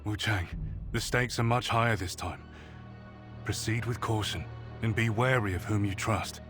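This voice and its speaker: An actor playing a grave, protective mentor in a video game, speaking in a solemn, measured way. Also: male voice, on the low side, over music, close to an everyday voice. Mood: solemn concern. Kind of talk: video game cutscene acting.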